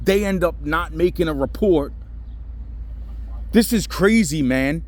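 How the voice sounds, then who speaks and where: Agitated middle-aged man venting, fairly high voice. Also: expressive, a little slow. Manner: agitated, rambling, heavy swearing. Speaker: caller reporting to police. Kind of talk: venting on a phone call. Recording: noisy phone call, traffic sounds behind.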